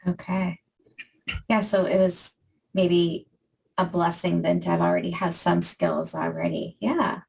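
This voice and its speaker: A woman's voice: fairly low pitch, lively intonation, a little slow, creaky, distant mic, poor audio. A woman from Maine hosting an interview podcast, asking questions with frequent fillers. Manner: warm hesitant questions with fillers. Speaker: podcast host.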